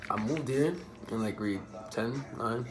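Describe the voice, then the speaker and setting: A man's voice: mid-range voice, a little slow. A young man from the Bahamas answering viewer questions in a casual, joking, slang-filled vlog chat. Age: young adult. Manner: laid-back, joking, streetwise slang.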